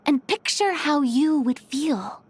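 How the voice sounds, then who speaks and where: A woman's voice: high voice, expressive, takes it slowly, darkish tone, a little hiss or hum. A woman playing a poised, friendly but guarded young lady of the film world in a video game. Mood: reassuring.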